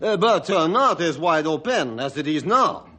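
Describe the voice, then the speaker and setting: A man playing a huge, hearty, good-natured French-Canadian lumberjack in a radio drama, speaking halting broken English. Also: fairly high pitch, animated, pushed and bright. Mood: earnest, troubled. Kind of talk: old-time radio drama acting.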